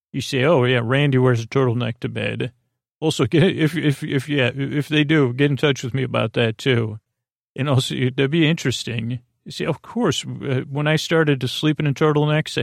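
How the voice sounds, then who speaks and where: A man with a creaky voice hosting a sleep podcast, rambling whimsically in a halting, meandering monologue. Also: mid-range voice, no room sound, clean studio sound. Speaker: podcast host.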